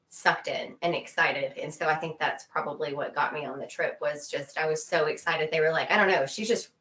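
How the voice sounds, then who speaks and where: A woman's voice: fairly low pitch, a bit bright. A woman chatting casually and informally as a guest in an interview. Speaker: guest, expedition group co-founder.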